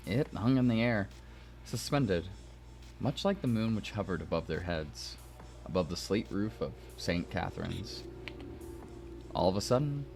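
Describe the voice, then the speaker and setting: A man with a very deep voice narrates a story aloud. He reads as the narrator and breaks off for playful asides. Music plays clearly underneath. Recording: music behind the voice.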